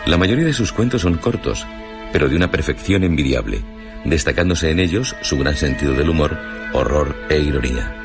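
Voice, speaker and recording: A man presenting a radio drama programme with a measured, solemn scripted introduction. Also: a bass voice, lively intonation. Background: background music.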